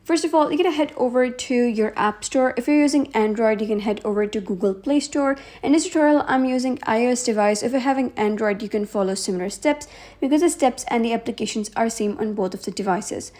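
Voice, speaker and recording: A woman's voice: a little high, a little fast, dark tone. A woman hosting a how-to tutorial, narrating instructions step by step. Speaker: tutorial host.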